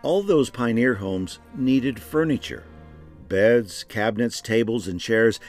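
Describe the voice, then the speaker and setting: A man's voice: mid-range voice, expressive, slow pace, a little mellow. A man giving scripted narration for a regional documentary program. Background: music.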